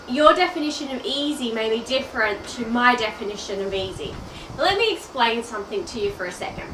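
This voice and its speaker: An Australian woman in her thirties speaks to camera with a high voice and a blocked, stuffy nose from a cold. She chats straight to the viewer like a coach. The sound is roomy and echoey, and she sits a little back from the mic with a faint hiss behind her. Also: a bit bright. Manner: chatty, addresses the viewer directly. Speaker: online business coach. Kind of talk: direct-to-camera video message. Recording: roomy, a bit distant from the mic.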